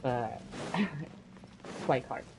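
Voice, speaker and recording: A woman giving casual commentary on her own gameplay in a halting, reflective way. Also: rumbling low, animated, noisy background. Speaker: gamer narrating own gameplay. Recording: loud game music behind voice. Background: video game music.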